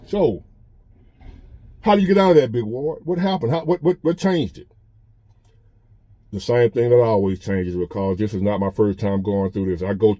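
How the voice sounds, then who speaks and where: A man's voice: lowish voice, dark tone, no room sound. Earnest man in his fifties. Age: 50s. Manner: earnest, repetitive, conversational preaching. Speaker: vlogger. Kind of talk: casual motivational monologue. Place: parked truck.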